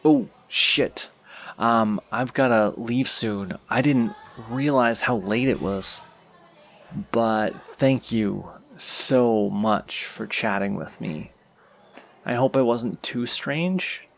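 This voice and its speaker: A man playing a shy, anxious, sweet young stranger in an audio roleplay, talking hesitantly and self-consciously in a creaky voice straight to the listener. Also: medium pitch. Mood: flustered, grateful. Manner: hesitant, self-conscious, rambling, halting speech.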